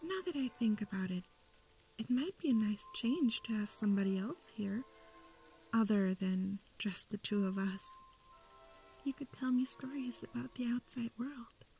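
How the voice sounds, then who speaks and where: A woman playing an eerie, sweetly sinister young manor dweller in a soft breathy whisper for horror ASMR roleplay. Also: fairly high pitch, lively intonation, moderate pace, soft background music, low-quality recording. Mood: eerily welcoming.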